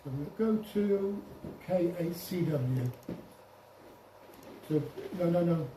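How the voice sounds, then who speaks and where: A man giving an informal software demonstration to a group, explaining step by step with some hesitation and self-correction. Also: a little high, expressive, takes it slowly. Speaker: presenter at an amateur radio club. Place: indoor club meeting room. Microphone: distant room microphone. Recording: echoey room, distant mic, faint noise.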